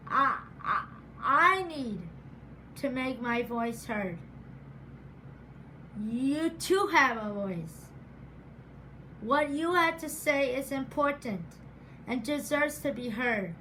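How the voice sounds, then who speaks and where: A woman giving a prepared speech in a deliberate, measured way, stuttering often with repeated sounds and words. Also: high-pitched, bright, forceful tone, a little hiss or hum, rough recording. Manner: stutters with sound and word repetitions. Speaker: program graduate giving a speech.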